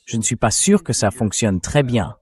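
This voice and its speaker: A man reading a translated voice-over as an interview show host, with a husky voice and a measured, explanatory delivery. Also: medium pitch, very animated, darkish tone.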